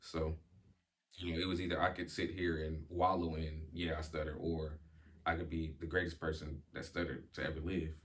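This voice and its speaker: A man's voice: rumbling low, little pitch movement, normal speed. A young man talking reflectively in casual chat as a podcast guest, with many fillers, restarts and an occasional stutter.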